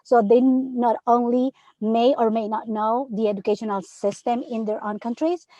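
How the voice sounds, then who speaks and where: A woman's voice: on the high side, fairly even intonation, normal speed, warm tone, no room sound, lo-fi sound. A woman from Peru, a third grade teacher, speaking earnestly on a panel discussion and often asking "right?". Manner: earnest, emphatic, many rhetorical "right?".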